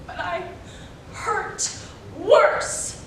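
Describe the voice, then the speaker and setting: A young woman performs a dramatic monologue with slow, emphatic phrasing. Her voice is high. She is picked up from far off in a very echoey room. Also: a bit bright. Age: young adult. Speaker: college speech competitor. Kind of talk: dramatic readers theater monologue. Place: indoor performance room, recorded from afar. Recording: very echoey room, distant mic, noisy.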